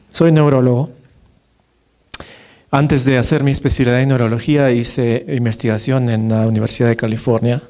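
Peruvian man lecturing with a mellow voice. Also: medium pitch. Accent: Peruvian Spanish. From Peru. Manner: conversational, many fillers and tag questions. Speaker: neurologist giving a lecture. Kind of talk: conference lecture. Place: large dark lecture hall with projector. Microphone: podium microphone, far camera.

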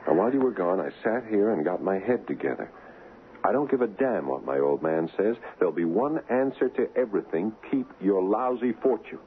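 An actor playing a devoted, defiant young fiancé in a 1970s radio drama, talking casually and emphatically. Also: male voice, lowish voice, expressive, brisk, close to an everyday voice. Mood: defiant, protective. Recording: muffled narrow-band old radio, faint noise.

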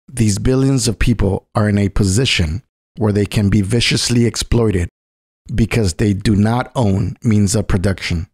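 A man reading scripted political narration in a didactic, measured way. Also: lowish voice, darkish tone, husky, no room sound, studio quality.

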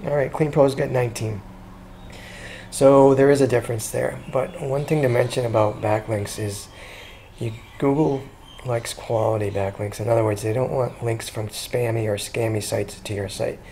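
A man talking casually through a screen-recorded walkthrough as a consultant, chatty and relaxed, with frequent ums and restarts. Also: mid-range voice, moderate pace, a little hiss or hum. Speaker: SEO consultant pitching a business.

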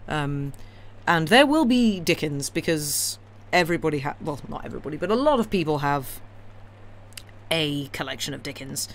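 A woman's voice: deep voice, normal speed. Woman chatting over background noise. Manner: wry, opinionated, enthusiastic bookish talk. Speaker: livestream host who reads classic novels aloud. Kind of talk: casual rambling chat with a live audience. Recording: clear steady background noise.

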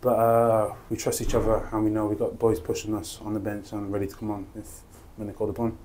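Laid-back young footballer, low flat voice. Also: male voice, fast, rough recording. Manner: laid-back, modest, short answers with fillers. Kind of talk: post-match interview. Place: indoor interview room by a sponsor board. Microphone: lapel mic.

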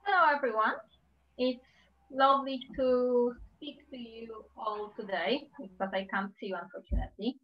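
A woman in her thirties from Poland with a Polish accent, speaking carefully and warmly about her own life in a talk given over a video call. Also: a little high, steady tone. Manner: careful, measured, heartfelt. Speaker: invited speaker. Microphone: computer mic on a video call. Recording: slightly dull video-call audio.

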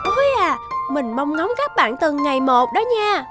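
Cheerful little girl, high voice, curious, children's cartoon, music behind. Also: very animated, normal speed. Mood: excited.

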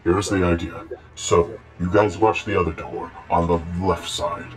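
A man's voice: low-pitched, steady tone, unhurried. A man voicing a bossy, scheming animatronic bear ringleader in a game roleplay, often repeating himself urgently. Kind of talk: improvised game roleplay acting. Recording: music behind the voice.

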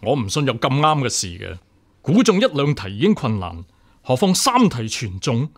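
Animated, upright young-blooded official, Cantonese. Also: male voice, mid-range voice, normal speed. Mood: suspicious, firm. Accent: Hong Kong Cantonese. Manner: earnest, formal, insistent. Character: upright, stubborn deputy examiner official, principled and unyielding. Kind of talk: Cantonese radio drama acting.

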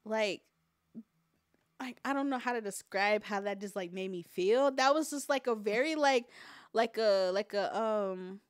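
A woman in her twenties co-hosting a casual livestream chat, excitable, laughing loudly and swearing freely. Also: mid-range voice, unhurried, dry recording, clean studio sound. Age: 20s. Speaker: co-host.

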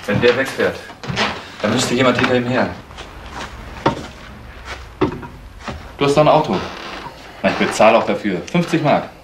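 Actor as a fast-talking, insistent young man. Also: mid-range voice, lively intonation, noisy background, lightly acted. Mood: urgent. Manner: earnest, insistent, accusing. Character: insistent young man obsessed with his uncle's disappearance. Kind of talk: German crime radio play acting.

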